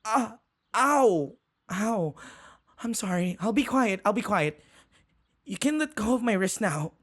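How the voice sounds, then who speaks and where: A man's voice: high voice, animated, unhurried, fairly bright tone, heavily acted. A man playing a flustered, submissive young human servant in an audio roleplay, stammering, hesitant and deferential. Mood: flustered, pleading. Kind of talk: audio roleplay acting addressed to the listener.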